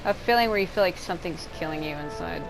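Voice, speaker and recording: A woman's voice: on the low side, fast, low-quality recording. A woman giving casual, rambling gameplay commentary as a streamer, sounding a bit tired and unwell. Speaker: gaming streamer. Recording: game music behind the voice. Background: video game music.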